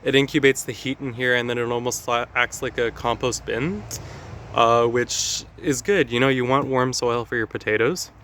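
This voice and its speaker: Man with slightly raspy allergy voice. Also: mid-range voice, moderate pace, a bit bright, a little hiss or hum. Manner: chatty, explanatory, with frequent fillers. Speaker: gardening vlogger. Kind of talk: casual garden walkthrough. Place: outdoor garden.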